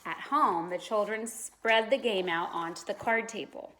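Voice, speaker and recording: Expressive woman reading a children's story. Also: medium pitch, normal speed, low-quality recording. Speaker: teacher reading aloud.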